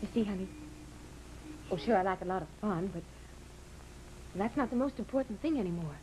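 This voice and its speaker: An actress playing a devoted, romantic wife of a gambler in an old radio drama, speaking in a tender, coaxing, intimate way. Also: female voice, mid-range voice, expressive, fairly quick, dark tone, natural voice. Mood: tender, earnest. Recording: slightly noisy old radio recording.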